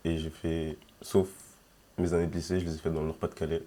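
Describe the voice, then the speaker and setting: A man's voice: a bass voice, flat delivery, fast talker, mellow, slight background noise. A man in his late twenties, a film student talking in a laid-back, agreeable way in a group discussion. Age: 20s.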